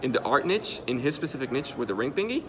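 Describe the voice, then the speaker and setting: A man's voice: medium pitch, sing-song, fast, fairly bright tone, slight background noise. A man from the Dominican Republic, a native Spanish speaker with a Dominican Spanish accent, coaching emphatically and repeating key points. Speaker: digital marketing host. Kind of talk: conversational coaching.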